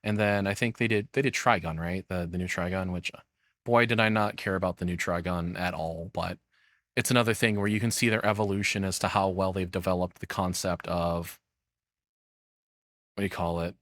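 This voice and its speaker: A man's voice: sits low, fairly even intonation, normal speed, a bit bright, no echo, studio quality. A man giving casual, opinionated commentary, rambling and swearing bluntly as he goes. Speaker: streamer reacting to a video.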